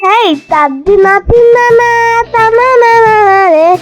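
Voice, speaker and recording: Casting: a tiny cartoon kid, extremely high-pitched, slow, playful sing-song, under loud music. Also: female voice, expressive, lo-fi sound, heavily acted. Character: small child singing silly nonsense songs. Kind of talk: comic cartoon performance.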